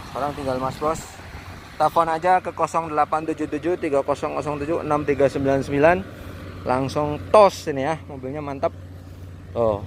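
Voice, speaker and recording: A man chatting enthusiastically in a casual car review sales pitch, full of exclamations. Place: inside a moving car, back seat. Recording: very loud car and road noise.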